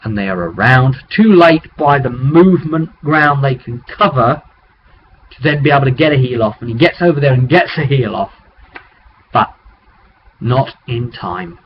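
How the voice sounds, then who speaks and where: A man's voice: medium pitch, expressive, moderate pace. A British man chattily recapping a tabletop roleplaying session as game master, in a casual, informal vlog style with asides to the viewer. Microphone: webcam microphone. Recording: muffled webcam audio with clear noise.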